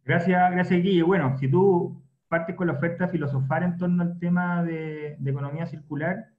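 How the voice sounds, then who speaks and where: A man with a Chilean accent hosts the chat in an upbeat, chatty way. His voice is quite high, and it sounds roomy and muffled, like lo-fi call audio. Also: fairly even intonation, moderate pace. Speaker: host, moderator. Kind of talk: casual moderated chat.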